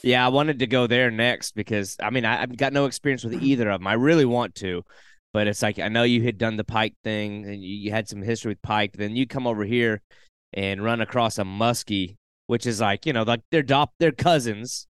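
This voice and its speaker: A man's voice: on the low side, a little fast, a bit bright, clear, resonant tone, no room sound, studio quality. A man hosting a podcast, easygoing and laughing along as he asks loose questions. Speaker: podcast host. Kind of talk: casual interview chat.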